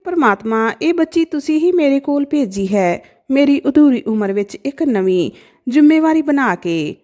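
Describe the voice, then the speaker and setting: A woman voicing a kindly, fatherly male temple priest of sixty in a storybook tale, speaking gently and soothingly. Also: high voice, animated, normal speed, voice pushed up. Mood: pensive, tender. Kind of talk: storybook dialogue.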